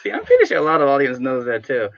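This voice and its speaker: A man's voice: mid-range voice, huge pitch swings, rapid-fire, vocal fry, lo-fi sound, slight background noise. A man chatting casually as a talk show panelist, in a joking, teasing manner. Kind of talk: casual chat.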